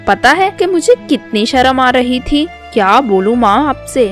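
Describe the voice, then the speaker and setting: A woman's voice: high-pitched, very animated, a little slow, soft background music. A woman voicing a sly, gossipy young daughter-in-law who feigns innocence in a storybook tale. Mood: scandalized gossip.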